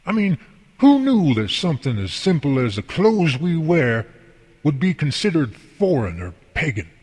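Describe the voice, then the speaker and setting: An actor playing a folksy, devout boss and mentor in an amateur radio drama, explaining things earnestly and at length. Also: male voice, on the high side, sing-song, normal speed, a little mellow, breathy edge. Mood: earnest, preaching. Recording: long hall reverb.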